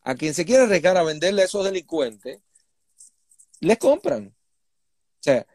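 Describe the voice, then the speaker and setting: A man's voice: sits high, big pitch swings, a little slow, a little mellow, dry recording, studio quality. A Cuban man speaking as an interview guest, explaining things in an emphatic, indignant conversational way. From Cuba. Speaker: interview guest, human rights activist.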